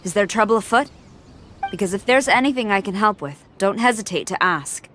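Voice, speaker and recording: An actress playing a composed woman commander in a game, speaking formally and courteously with quiet authority. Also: medium pitch, animated, fairly quick, lightly acted. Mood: composed, helpful. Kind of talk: game cutscene voice acting. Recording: faint noise behind the voice.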